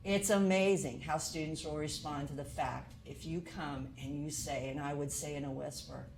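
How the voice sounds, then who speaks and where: A woman's voice: low voice, fairly even intonation, normal speed, creaky, darkish tone. A woman college instructor lecturing to teachers in an earnest way, asking many rhetorical questions.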